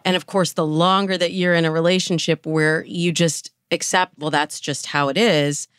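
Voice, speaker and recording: A woman with a low-pitched voice talks as a guest expert in an interview. She explains advice in a conversational way, using illustrative examples. The sound is dry and close, with no room echo. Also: steady tone, normal speed, a bit bright, smooth. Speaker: dating coach, interview guest.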